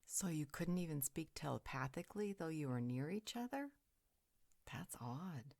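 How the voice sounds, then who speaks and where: Lady playing a thoughtful mom type, calm n measured, slightly low voice, audio roleplay. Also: vocal fry, exaggerated voice. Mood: calm, probing. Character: calm, motherly woman, thoughtful and protective. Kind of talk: roleplay audio drama acting.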